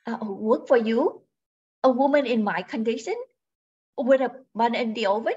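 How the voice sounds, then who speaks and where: A woman's voice: a little high, moderate pace, dark tone, breathy edge, muffled recording. A woman playing a plucky, polite young expectant mother seeking work in an old-time radio drama, earnest and formal. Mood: proud, cool. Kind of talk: 1940s radio drama acting.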